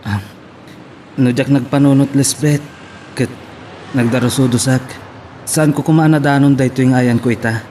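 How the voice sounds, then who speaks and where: A man's voice: medium pitch, fairly even intonation, normal speed, pauses often, warm tone, lightly acted. A man playing a timid, evasive employee who sounds baffled and hesitant in an Ilocano audio drama, with an Ilocano accent. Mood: hesitant, uneasy. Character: flustered, evasive, timid male employee under pressure. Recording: clear background hiss and noise.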